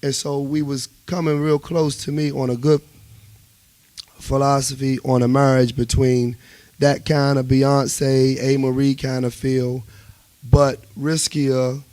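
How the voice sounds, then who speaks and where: A man's voice: medium pitch, unhurried, dry recording. A man with a Washington, DC accent talking casually and emphatically as a musician guest in a radio interview, often checking that he is understood. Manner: emphatic, animated storytelling with repeated check-ins.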